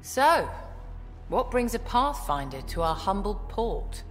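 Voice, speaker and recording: An actress playing a hard, commanding outlaw ruler with a curt manner in a video game. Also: female voice, medium pitch, big pitch swings, slow pace, big-room sound, faint music behind. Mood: imperious, threatening. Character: hard, imperious female outlaw port boss. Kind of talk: video game character dialogue.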